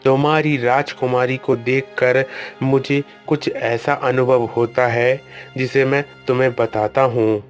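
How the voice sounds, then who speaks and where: A man voicing a wise old guru who calmly reads a princess's palm and gives firm orders in a cartoon story. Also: medium pitch, close to an everyday voice. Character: wise old male guru, calm and commanding. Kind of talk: Hindi cartoon storybook voice acting.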